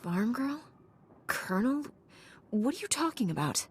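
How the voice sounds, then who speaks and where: An actress playing a resolute young Jedi knight in a video game, earnest and direct. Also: female voice, on the high side, very animated, measured pace, breathy edge, exaggerated voice. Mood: concerned.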